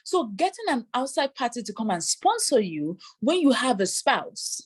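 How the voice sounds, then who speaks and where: A woman's voice: fairly high pitch, very animated, moderate pace, slightly rough. Woman immigration consultant explaining advice. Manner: explanatory, voices hypothetical applicants.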